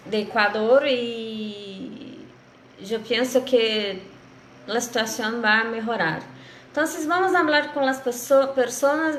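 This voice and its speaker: A Brazilian woman with a Brazilian Portuguese accent, a Portuguese native, speaks slowly and hesitantly in a fairly high voice, filling pauses and restarting words. She is heard over a video call in a roomy, echoey space with faint hiss behind her. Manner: halting, many fillers and restarts. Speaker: guest lecturer. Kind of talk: online lecture greeting.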